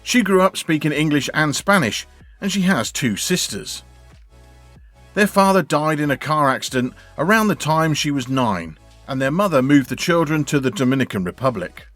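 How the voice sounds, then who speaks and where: A man narrating a scripted video in an even, read-out delivery. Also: on the high side. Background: faint background music.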